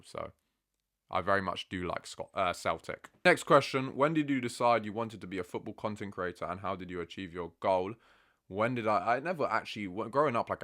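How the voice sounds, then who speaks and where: Deep-voiced young man, chatty. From England. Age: 20s. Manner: relaxed, chatty, says "bro" often. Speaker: football content creator. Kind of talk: casual Q&A chat.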